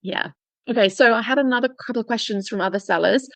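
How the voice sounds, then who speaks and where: Woman, podcast host, rapid casual chat, frequent laughs, dry sound. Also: on the high side, dry recording. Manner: chatty, laughs often, quick restarts.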